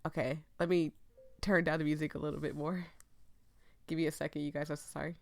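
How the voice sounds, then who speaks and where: A woman's voice: low-pitched, level tone, fast, vocal fry, no echo. A woman hosting a casual let's play, reading game text aloud and chatting apologetically to viewers as she breaks off her own sentences. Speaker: gaming video host.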